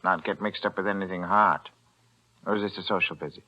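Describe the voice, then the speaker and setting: A man's voice: deep voice, fairly even intonation, brisk, pushed and bright, a little hiss or hum. An American actor playing a shrewd, grasping pawnbroker in a 1940s radio thriller, hemming and reasoning slyly as he drives a bargain. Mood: wary, wry. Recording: muffled old 1940s radio recording.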